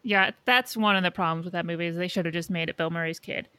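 A woman's voice: lowish voice, fairly even intonation, quick pace, sharp tone, clear, resonant tone. A woman co-hosting a podcast, discussing films casually with a blunt, dry manner. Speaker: podcast co-host.

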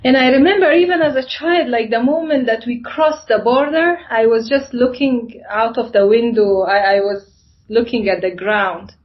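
A woman from Afghanistan, a non-native English speaker, recounts personal experiences as an interview guest, often pausing to search for words. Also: fairly high pitch. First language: not English. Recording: dull, lo-fi audio.

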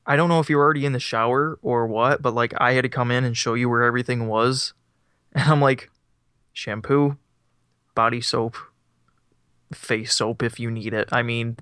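A young man chats loosely on a homemade podcast, wandering through his thoughts and laughing at himself. His voice is smooth and clear, recorded close and dry on a mic beside him, in a middle pitch. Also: a bit bright, no room sound. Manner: rambling, self-deprecating, laughs often. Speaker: podcast co-host. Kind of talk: casual chat. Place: on a couch at home. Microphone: microphone set up beside him.

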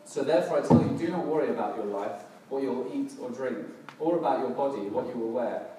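Middle-aged preacher, hoarse and crackly, casual and hesitant; distant mic, strong hall echo, noisy room. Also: male voice, mid-range voice, fast talker. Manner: relaxed, self-deprecating, hesitant with fillers and restarts. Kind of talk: casual church sermon. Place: church hall, in front of a congregation. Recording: distant, very echoey hall with background noise.

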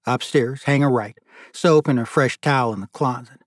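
A flat, restrained old man speaks in few words, as voiced by a male narrator. His pitch sits in the middle and the sound is dry. He is a stoic, taciturn audiobook character. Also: normal speed, no echo, natural voice. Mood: terse, kindly.

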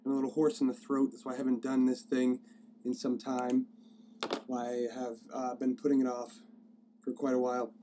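A hoarse man with a cold hosting his own podcast, rambling casually with many fillers and restarts as he streams live from his phone. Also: mid-range voice, monotone, moderate pace, dark tone, a little hiss or hum. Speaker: podcast host. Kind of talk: casual solo podcast talk.

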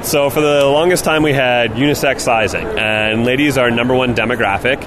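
Enthusiastic male sandal rep, noisy floor. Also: a little fast. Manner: enthusiastic salesy pitch. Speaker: sandal company representative. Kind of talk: product pitch in conversation.

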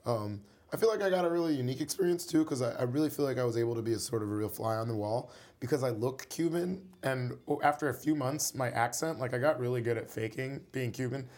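A man's voice: medium pitch, quick pace, few pauses, darkish tone. A man, a musician guest in an interview, talking casually and rambling with many fillers.

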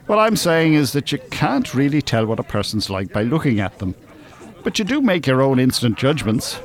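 An actor playing a wry, worldly, cynical older man with an Irish accent in a naturalistic radio drama. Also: medium pitch. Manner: dry, teasing, opinionated.

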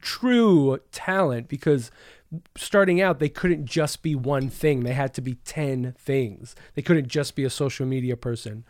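A man's voice: medium pitch, unhurried, no room sound, clean studio sound. A man co-hosting a podcast, talking earnestly and reflectively in casual chat. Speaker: podcast co-host.

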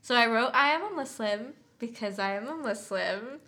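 A woman's voice: fairly high pitch, expressive, normal speed, pushed and bright. A woman co-hosting a podcast as a story writer, casually explaining her thinking in a reflective way.

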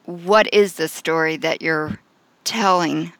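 A woman interviewer who narrates the introduction and asks questions in a clear, polished, encouraging manner. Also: low voice, slow, fairly bright tone, no room sound, low-quality recording.

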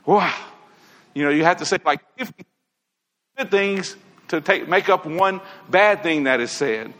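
Male preacher, earnest and conversational, high bright voice, sermon in an echoing church hall. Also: unhurried. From Tennessee, USA. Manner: earnest, conversational, addresses congregation directly. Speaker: preacher giving a morning lesson. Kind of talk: sermon with prayerful asides.

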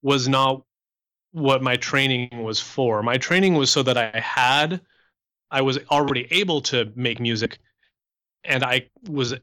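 A man's voice: mid-range voice, a little slow. A young man from Canada speaking as an interview guest, explaining his life as a musician in a conversational, sociable way. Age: young adult. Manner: animated explaining, sociable extrovert.

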